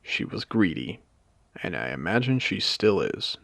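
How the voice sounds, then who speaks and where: A man voicing a suave, world-weary machine casino owner in an audio roleplay, speaking to the listener in a measured, genteel, theatrical way with pointed pauses. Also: sits low, very animated, creaky, a bit bright, no room sound, exaggerated voice. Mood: bitter, recounting. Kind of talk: intimate scripted audio roleplay addressed to the listener.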